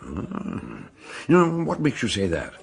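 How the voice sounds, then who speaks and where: An actor playing an educated, principled doctor who narrates a mystery drama in a measured, dry, gentlemanly way. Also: male voice, medium pitch, wildly swooping pitch, vocal fry, full character voice. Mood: dry, questioning.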